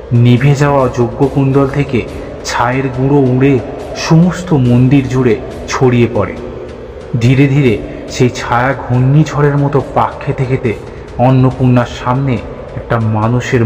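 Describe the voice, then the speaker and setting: A man's voice: medium pitch, long reverb tail. A man narrating a scripted horror audio story in a dramatic storytelling style. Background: background music.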